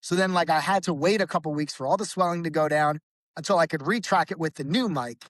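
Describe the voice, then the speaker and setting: A man's voice: fairly high pitch, quick pace, a bit bright, no echo, studio quality. A man talking casually as a guest about his band's vocals, rambling with many restarts and fillers. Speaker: podcast guest, band vocalist. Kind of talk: casual conversation.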